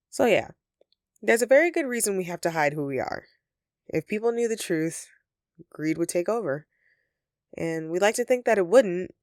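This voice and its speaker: A friendly, easily flustered female elf from a festive audio roleplay, acted by a woman. Her voice sits on the low side for a woman and is recorded very dry. She talks straight to the listener in a sheepish, earnest, conversational way. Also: dry recording. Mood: earnest, insistent. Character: flustered, friendly female Christmas elf, secretly living as roommate.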